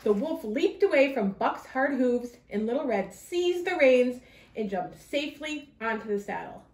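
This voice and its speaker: A woman reading a children's story aloud with expressive narration in an echoey room. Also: high-pitched, unhurried.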